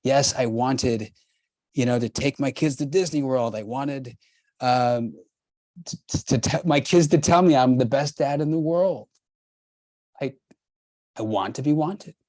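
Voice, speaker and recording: A man's voice: medium pitch, a little slow, pauses often, darkish tone, no room sound. A middle-aged man hosting a workshop, coaching the group in an emphatic, urging way with lots of repetition.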